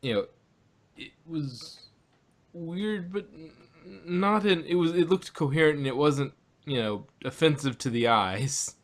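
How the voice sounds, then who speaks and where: A man co-hosting a podcast in casual group chat, with a halting, jokey way of talking. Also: a little high. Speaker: podcast co-host.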